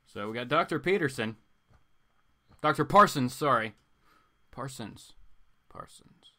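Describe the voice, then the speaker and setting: Male live stream host, medium pitch, loose chatty delivery, informal and playful, drifting from exclamations to mutters. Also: expressive, measured pace, sharp tone. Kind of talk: casual rambling chat, reading viewer comments.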